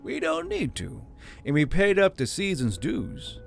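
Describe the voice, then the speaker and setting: A man's voice: high-pitched, wildly swooping pitch, a little slow, creaky, soft background music, pitched above the performer's own voice, exaggerated voice. A man voicing a smug, gracious male city lord who talks in a nonchalant, gloating way, in an audiobook reading.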